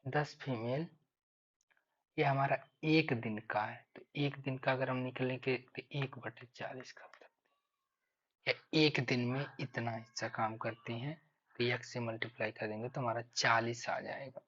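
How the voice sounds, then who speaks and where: Husky man teaching maths. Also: mid-range voice, fairly even intonation, unhurried, a bit bright. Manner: instructional, repeats key figures, thinks aloud. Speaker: maths teacher for exam preparation. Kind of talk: step-by-step lesson explanation. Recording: dull lo-fi microphone sound.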